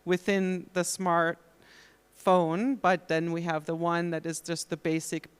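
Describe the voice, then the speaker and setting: A conference panelist explains a technical setup step by step. The voice is smooth and clear, with very little rise and fall. It echoes in a reverberant hall. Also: medium pitch, takes it slowly. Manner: steady, methodical explanation.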